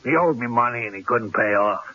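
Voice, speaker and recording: An actor playing a cocky, menacing gangster in a radio drama, with tough, slangy street talk and a rough edge. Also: male voice, medium pitch, expressive, fairly quick, sharp tone. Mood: gloating. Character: cocky, menacing gangster killer holding a couple at gunpoint. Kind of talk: 1970s radio drama acting. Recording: dull, lo-fi old radio broadcast.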